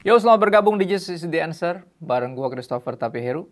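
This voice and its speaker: A man's voice: on the high side, animated, fast, heavy background noise. A man, presenting a casual religious show for young people as its host.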